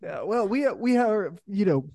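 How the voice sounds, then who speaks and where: A man co-hosting a casual chat, joking in animated, stop-start phrases. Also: sits high, a little slow, gravelly, a crowd in the background. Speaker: podcast co-host. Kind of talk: casual banter. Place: remote video call.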